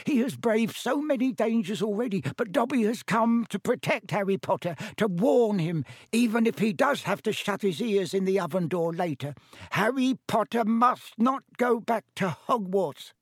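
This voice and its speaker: A man voicing a small, anxious, devoted house-elf with a squeaky, hoarse voice, pleading in an audiobook story. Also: expressive, normal speed, no echo, studio quality. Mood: tearful, earnest. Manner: pleading, speaks of himself in the third person.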